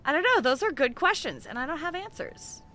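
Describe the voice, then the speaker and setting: A woman's voice: sits high, sing-song, a little fast, bright, forceful tone, soft background music. A woman hosting a game livestream, chatting casually and thinking aloud in a rambling way as she plays. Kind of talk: casual chat while playing an online game.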